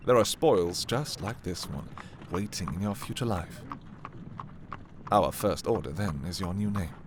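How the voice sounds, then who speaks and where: Casting: male actor as a dark romantic prince, low-voiced, commanding, possessive, with widely swinging intonation, for fantasy ASMR roleplay. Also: slight background noise. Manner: slow, deliberate, imperious yet intimate. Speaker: audio roleplay performer.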